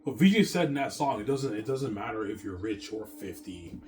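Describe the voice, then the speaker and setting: A man's voice: lowish voice, expressive, moderate pace, a little mellow, slight background noise. A man in his thirties rambling to his viewers in a self-assured, repetitive way, often restarting his sentences. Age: 30s. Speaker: vlogger.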